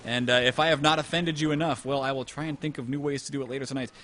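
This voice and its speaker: A man's voice: medium pitch, fairly even intonation, very fast, fairly bright tone. A young man casting a live video game match, joking and rambling while losing his voice. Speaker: esports caster.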